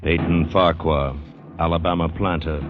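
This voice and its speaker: Very deep solemn male radio narrator. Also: steady tone. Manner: measured, solemn, dramatic. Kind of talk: 1940s radio drama scripted narration. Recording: muffled 1940s radio recording, music behind.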